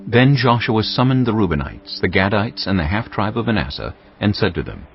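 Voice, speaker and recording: A man narrates scripture solemnly in a deep voice with expressive, wide-moving intonation. His delivery is measured and scripted. Music plays clearly behind him. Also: lo-fi sound. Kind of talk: dramatized audio Bible narration.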